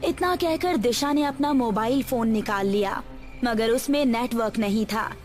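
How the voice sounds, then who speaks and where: A woman's voice: a little high, moderate pace, darkish tone. A woman narrating a scripted horror story as the storyteller. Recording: music behind the voice.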